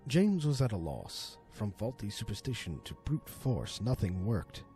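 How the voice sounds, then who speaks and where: A man narrating a horror story in a theatrical, deliberate manner, as an amateur storyteller and voice actor. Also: lowish voice, sing-song, dark tone, husky, soft background music. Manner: theatrical, deliberate, swooping delivery.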